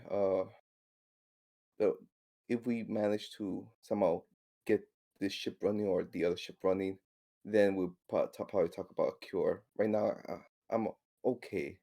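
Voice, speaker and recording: A man playing a tabletop game, chatting casually and haltingly, often repeating his words as he works out what to say. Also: low voice, steady tone, warm tone.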